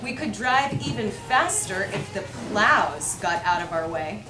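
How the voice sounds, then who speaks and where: A woman's voice: medium pitch, animated, measured pace, music in the background. A woman reading light, humorous verse aloud to an audience as one of the readers at a live event. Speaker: reader at a holiday readers theater. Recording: echoey room with clear background noise.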